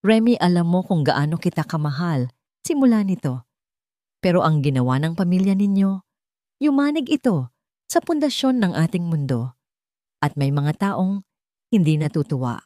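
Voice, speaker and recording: A woman's voice: fairly low pitch, sing-song, normal speed, long pauses, dark tone, no room sound, studio quality. Woman acting a sorrowful, grave elderly Filipina widow. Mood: grave, regretful. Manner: grave, regretful. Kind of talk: audio storybook acting.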